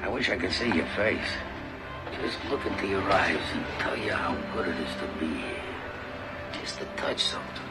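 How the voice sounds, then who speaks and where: An actor playing an older man who talks tenderly to an unseen presence, in slow, gentle, wondering musings. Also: low voice, expressive, loud music behind the voice, poor audio. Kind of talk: film dialogue. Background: loud film score.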